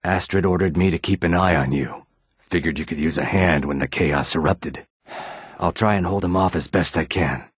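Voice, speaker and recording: A man voicing a reptilian Argonian assassin and outlaw in a video game, with a rasping, husky voice. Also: low-pitched, normal speed, dry recording, studio quality. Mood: calm, dry. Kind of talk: video game character dialogue.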